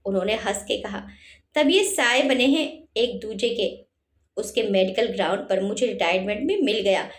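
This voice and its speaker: A woman's voice: mid-range voice, moderate pace. A woman narrating a scripted emotional story in a sentimental, dramatic way. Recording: roomy, echoing sound.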